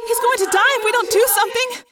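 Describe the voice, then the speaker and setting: A woman voicing a blunt, argumentative teenage girl in an audiobook adventure, challenging and forceful in manner. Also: extremely high pitch, fairly even intonation, quick pace, very noisy, pitched above the performer's own voice. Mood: alarmed.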